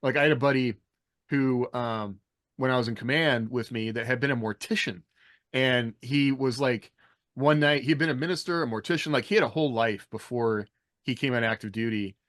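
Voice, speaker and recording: A man's voice: mid-range voice, moderate pace, fairly bright tone, no echo. Dry-sounding American man chatting casually. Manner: rambling, anecdotal, frequent "like". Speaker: podcast co-host. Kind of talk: casual chat about military life and fiction.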